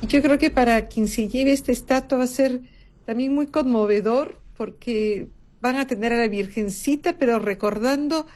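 A somewhat high female voice. She talks earnestly on air, laying out each point with care. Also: moderate pace, darkish tone. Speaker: radio co-host. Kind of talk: radio fundraising talk.

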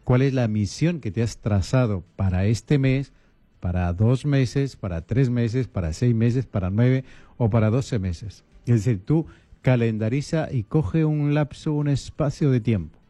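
A man, a priest, giving calm, repetitive advice on a radio talk program. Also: mid-range voice, measured pace, no room sound. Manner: calm, repetitive listing, coaching tone.

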